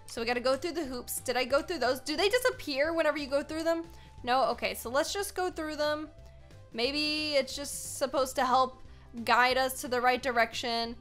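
A woman in her twenties with an American accent, giving excitable, playfully dramatic gameplay commentary and chatting casually to viewers at a close mic in a small room. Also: sits high, normal speed, soft background music. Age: 20s. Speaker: gaming video host. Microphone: desk microphone, close.

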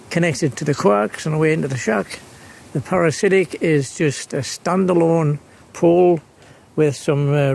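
A man giving an unscripted demonstration as an amateur radio operator, talking in a halting, hesitant way. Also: a little high, darkish tone. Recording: clearly noisy handheld recording.

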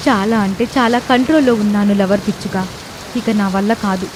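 A woman's voice: medium pitch, normal speed, lightly acted. A woman playing an aggrieved, vengeful wife sparrow in a bird cartoon, melodramatic and pleading, over hissy noise. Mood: bitter, angry.

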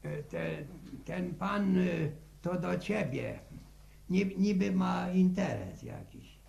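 Elderly village grandfather, very gravelly, slow, hesitant, nostalgic, rural Polish accent, old radio drama. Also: high-pitched, expressive, a little mellow, heavily acted. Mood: earnest, reminiscing. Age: older. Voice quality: creaky elderly voice. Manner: hesitant, stammering and repeating words. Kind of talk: 1960 Polish radio serial acting.

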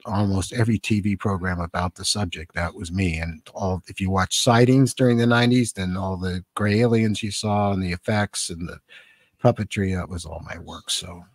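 Older American man, low-pitched, chatting casually. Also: normal speed, no room sound. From San Francisco, Northern California, USA. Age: 70s. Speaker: live stream host. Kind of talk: casual live-stream chat, reading and answering comments. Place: small cluttered home studio indoors. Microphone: close boom-arm studio microphone with headphones.

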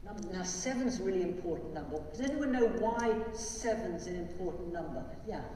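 An older male priest leading prayers, then talking gently and simply to children. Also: high-pitched, lively intonation, a little fast, a little hiss or hum. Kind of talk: liturgical prayer and children's address. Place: large church. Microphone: lectern mic, far from camera. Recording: echoey church from far off.

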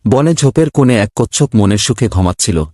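A man's voice: mid-range voice, animated, brisk, dark tone, no room sound, clean studio sound, soft background music, close to an everyday voice. A man playing a kindly schoolteacher in a children's cartoon, patient and instructive as he speaks. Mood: storytelling. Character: kindly male schoolteacher telling pupils an animal fable.

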